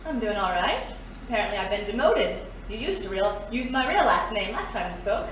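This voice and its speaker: A woman's voice: mid-range voice, sing-song, a little fast, a bit bright, recorded from a distance. Cool female thief in an echoey room, woman acting. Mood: cool, wounded. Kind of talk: pulp adventure audio drama acting. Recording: echoey, off-mic, faint noise.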